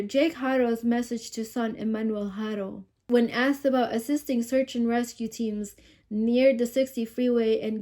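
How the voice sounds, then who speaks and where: Woman, stuffy nose, sniffly, slow unhurried talk, mellow tone, chatty true-crime commentary. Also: fairly high pitch. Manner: chatty, asks viewers questions. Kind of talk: casual commentary reading news aloud.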